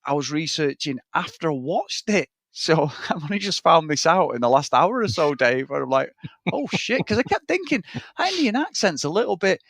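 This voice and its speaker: A man's voice: on the high side, sing-song, normal speed, a bit bright, no echo, studio quality. A man, co-hosting a podcast and chatting casually about a film in a frank, rambling way. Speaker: podcast co-host. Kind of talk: casual film review chat.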